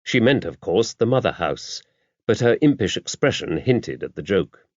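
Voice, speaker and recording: A man reading mystery fiction as an audiobook narrator, in a measured, expressive storytelling style. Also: fairly low pitch, no room sound. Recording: slightly dull, dry audiobook recording.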